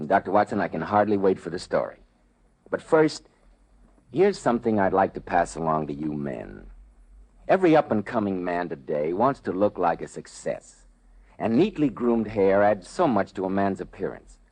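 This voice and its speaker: A man announces in a low voice with animated swings of pitch. He sounds like a polished, chummy 1940s radio pitchman on a vintage recording. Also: normal speed, slightly rough, rough recording.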